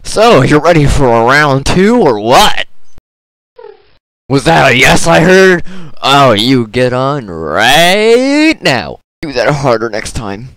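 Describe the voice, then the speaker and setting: A man voicing a crude, excitable character in a comedic video game parody, often exclaiming. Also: medium pitch, takes it slowly, no room sound.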